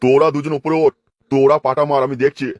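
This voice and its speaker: A man's voice: medium pitch, flat delivery, fast talker, dry recording, natural voice. A man voicing a commanding, spiteful head mason in a comic cartoon. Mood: ordering. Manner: curt, ordering.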